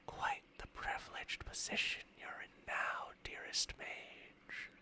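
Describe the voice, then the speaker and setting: Smug, slow-talking dragon familiar played by a man. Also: whispered, lightly acted. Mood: intimate whisper. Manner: slow, teasing, condescending, formal archaic phrasing. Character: smug ancient dragon spirit in male human form, possessive, teasing. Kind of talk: ASMR roleplay with intimate close whispers. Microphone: close microphone, spatial ASMR recording.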